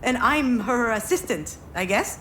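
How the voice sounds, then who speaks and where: An actress playing an overwhelmed, sardonic adult daughter in a video game, speaking in a halting, expressive way. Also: female voice, a little high, slight background noise. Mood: animated, boastful. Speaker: game character, artist's assistant. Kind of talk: naturalistic video game acting.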